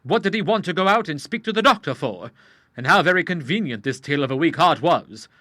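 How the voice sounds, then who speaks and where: A man's voice: a little high, expressive, fairly quick, pushed and bright, no room sound, studio quality, pitched above the performer's own voice. A man voicing an earnest, plain-spoken young man who is suspicious of rogues. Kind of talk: audiobook character voice.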